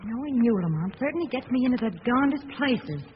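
An actress playing a plucky, outspoken companion to a sleuth in an old-time radio drama, with a wry, expressive delivery. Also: female voice, on the high side, fast. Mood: uneasy protest. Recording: dull, noisy vintage radio recording.

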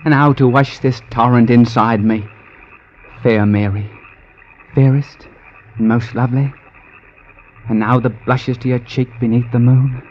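Ardent young suitor played by an actor, flowery and earnest. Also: male voice, medium pitch, fairly even intonation, unhurried, long pauses, darkish tone, natural voice. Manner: poetic, earnest declaiming. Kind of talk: 1950s radio drama acting. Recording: dull, noisy old radio recording.

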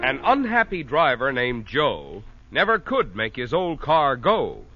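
A man's voice: mid-range voice, wildly swooping pitch, unhurried, loud music behind the voice. A man announcing a 1940s radio advertisement in polished salesman patter. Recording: muffled old radio broadcast.

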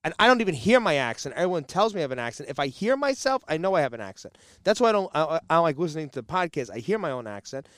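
Podcast co-host, male, Jersey City accent, fired up and indignant, slightly raised pitch, dry close sound. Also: sing-song, racing, few pauses, fairly bright tone, dry recording. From New Jersey. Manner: heated, indignant, stammering restarts. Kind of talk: casual discussion.

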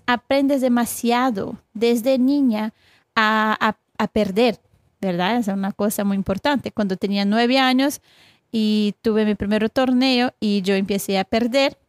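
A young woman from Brazil with a Brazilian Portuguese accent, a guest coach speaking Spanish as a second language, halting and searching for words. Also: mid-range voice, dry recording, clean studio sound. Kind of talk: casual interview talk.

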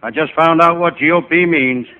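A male comedian playing a wisecracking, hapless private eye, narrating in deadpan first person in old-time radio comedy style. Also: a little high, normal speed, lightly acted. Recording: noisy, tinny vintage radio recording.

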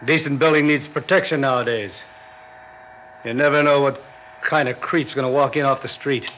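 A man's voice: medium pitch, steady tone, moderate pace, close to an everyday voice. An actor playing a tough, menacing elevator man and bodyguard in an old radio drama, talking in short clipped lines. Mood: gruff. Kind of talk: 1960s radio drama acting. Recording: dull lo-fi old radio recording with hum.

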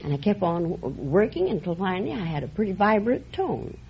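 Lively-voiced woman contestant on old radio. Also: medium pitch, a little fast, vocal fry. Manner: hesitant, plain-spoken. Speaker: whistling teacher, contestant. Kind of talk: game show interview. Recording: dull old radio broadcast with heavy hiss.

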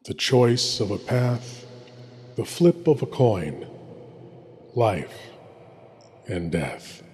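A man hosting a horror show, narrating in a slow, ominous, theatrical way. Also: lowish voice, darkish tone, a little hiss or hum. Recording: reverberant with music behind.

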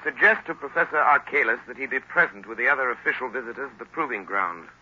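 An actor playing an earnest, persistent young amateur sleuth, urgent yet polite, in 1930s radio style. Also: male voice, mid-range voice, lively intonation, fast, pushed and bright, slightly rough, exaggerated voice. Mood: urgent, persuasive. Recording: noisy, dull 1930s radio recording.

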